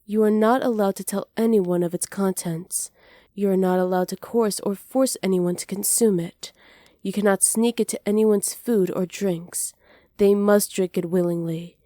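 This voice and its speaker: A woman playing a flirty, cruel evil witch in a soft ASMR roleplay, teasing and smug, close to the mic. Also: mid-range voice, steady tone, no room sound, close to an everyday voice. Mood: sly, businesslike. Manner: teasing, smug, unhurried, often dropping to near-whispers. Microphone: close microphone, dry.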